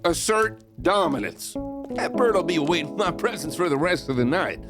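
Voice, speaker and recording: An actor playing a cocky, swindling Old West outlaw who talks with folksy swagger in an audio drama. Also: male voice, on the high side, lively intonation, normal speed, loud music behind the voice. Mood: smug. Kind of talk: audio drama narration and dialogue.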